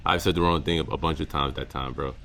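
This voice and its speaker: A young man with a very low, deep voice talks to his camera about what he has learned. His speech is casual and slangy, full of halting restarts and repeated words. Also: steady tone, pushed and bright, a little hiss or hum. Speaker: content creator. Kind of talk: reflective casual vlog monologue.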